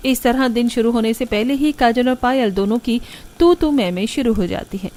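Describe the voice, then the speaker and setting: A woman's voice: a little high, moderate pace, darkish tone. A woman giving scripted narration for a cartoon family story.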